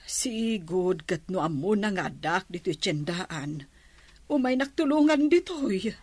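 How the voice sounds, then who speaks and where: An anxious, fretful woman in a radio drama. She talks slowly and hesitantly in a husky voice. The sound is dry. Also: mid-range voice, animated, darkish tone, dry recording. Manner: hesitant, worried.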